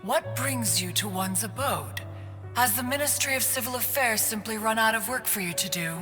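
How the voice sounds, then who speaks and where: A woman's voice: fairly high pitch, normal speed, close to an everyday voice. A woman plays an ancient haughty crane spirit, formal and archaic, who refers to herself as one. The voice rings with long hall reverb. Game music sits behind her. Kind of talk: game cutscene voice acting.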